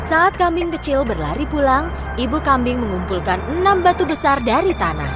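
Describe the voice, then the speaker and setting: A woman's voice: high voice, sing-song, normal speed, music in the background. A woman storyteller reading scripted fairy tale narration for children.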